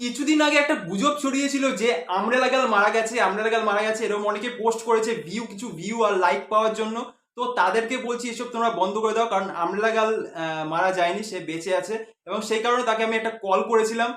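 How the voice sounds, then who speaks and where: A young man hosts a comedy roast in a high voice, rattling along at breakneck speed. The sound is roomy and echoing, with music playing behind him. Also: quick pace, fairly bright tone. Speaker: host of a comedy roast show. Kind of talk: energetic scripted roast commentary.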